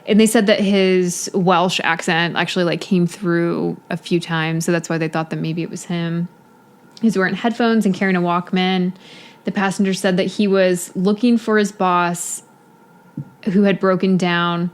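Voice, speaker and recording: A woman's voice: lowish voice, fairly even intonation, moderate pace. A woman co-hosting a podcast, telling a case in casual chat with a lot of "you know" fillers. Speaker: podcast co-host.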